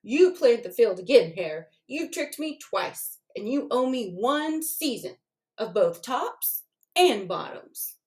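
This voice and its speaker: A woman's voice: a little high, sing-song, slow, a little mellow. A woman voicing a grumpy, lazy male bear in a children's storybook, emphatic and indignant at being cheated. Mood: grumbling, indignant. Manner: scowling, emphatic, hollering complaints.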